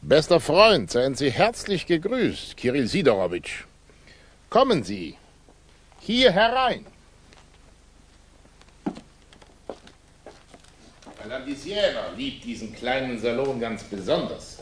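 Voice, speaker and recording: An actor playing a cunning Russian émigré revolutionary in a German radio play, flattering and condescending, with florid, oily politeness. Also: male voice, medium pitch, unhurried, husky, exaggerated voice. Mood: effusive, condescending.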